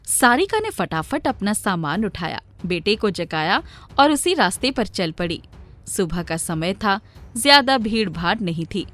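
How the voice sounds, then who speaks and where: A woman's voice: mid-range voice, big pitch swings, unhurried, a bit bright. A woman narrator reading a scripted story for children. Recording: music playing behind the voice.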